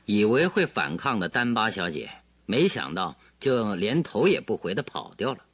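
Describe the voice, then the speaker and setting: An assured detective with animated, dry studio delivery. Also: male voice, fairly low pitch, normal speed, breathy edge, dry recording, heavily acted. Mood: assured, explaining. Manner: expository, assured, theatrical. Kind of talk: Chinese radio drama acting.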